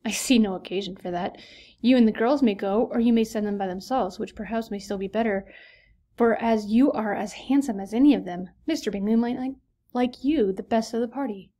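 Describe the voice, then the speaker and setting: A woman reading aloud, voicing a dry, teasing middle-aged husband in an unhurried tone. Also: a little high, warm tone, lightly acted.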